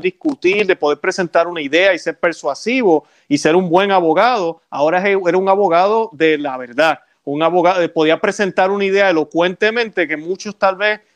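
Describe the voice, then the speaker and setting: Guy hosting, bit high voice, explains stuff and keeps checking in with his guest. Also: fairly quick, few pauses. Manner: explanatory, persuasive, frequent tag questions. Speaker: interview host. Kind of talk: conversational interview, faith talk.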